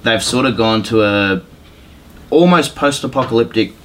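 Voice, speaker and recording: A man's voice: mid-range voice, normal speed. A man co-hosting a podcast, giving his opinions in casual conversation. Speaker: podcast co-host. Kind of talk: casual chat, film opinions. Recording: noisy room with background ambience.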